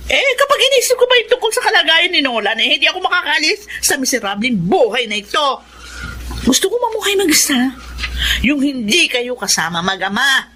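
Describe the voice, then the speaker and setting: A woman's voice: sits high, very animated, brisk, sharp tone, full character voice. A woman playing a bitter, selfish, scolding wife of a poor dockworker in a Filipino radio drama. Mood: cold, bitter. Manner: scolding, confrontational.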